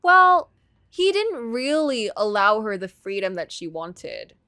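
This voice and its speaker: A woman's voice: medium pitch, big pitch swings, slow pace, bright, forceful tone, no echo, clean studio sound. A woman streaming casual, opinionated commentary on a story game, thinking aloud in a conversational way.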